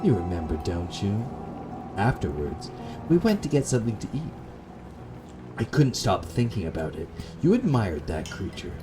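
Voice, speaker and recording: Obsessive, lovesick young woman acted by a man, intense and clingy, low-set voice with lively swings, gothic horror game. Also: normal speed, over music. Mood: wistful, accusing. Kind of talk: gothic horror visual novel dialogue.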